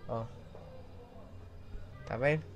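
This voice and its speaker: A young man with a cold and a hoarse voice, chatting casually as he films his plants outdoors on a handheld phone. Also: drawn-out words, slight background noise. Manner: chatty, points things out to viewers. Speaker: plant vlogger. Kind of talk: casual walkthrough vlog. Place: outdoor backyard garden area. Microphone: handheld phone camera.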